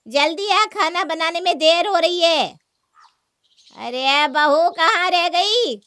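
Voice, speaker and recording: A woman's voice: extremely high pitch, slow, pushed and bright, no echo, heavily acted. A woman playing a scolding, exasperated mother-in-law in a cartoon family story, nagging and sarcastic. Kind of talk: Hindi cartoon story acting.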